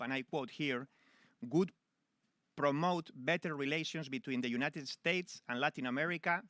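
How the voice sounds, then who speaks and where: A man's voice: medium pitch, sharp tone, smooth, no echo, studio quality. A man, a newspaper executive, giving an informal speech and telling a story to an audience at a public event.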